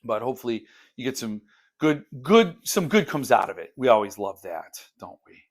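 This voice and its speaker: A man hosting a book vlog, talking informally and haltingly as he thinks aloud. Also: mid-range voice, very animated. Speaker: host of a book unboxing channel. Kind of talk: casual unscripted book vlog chat.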